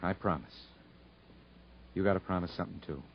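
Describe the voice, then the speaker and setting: A stubborn country convict with a low voice and folksy, plain-spoken delivery. It is 1950s radio drama acting, caught on a dull vintage broadcast recording. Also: male voice, brisk, husky. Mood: resigned, somber. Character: stubborn, proud rural young husband convicted of murder.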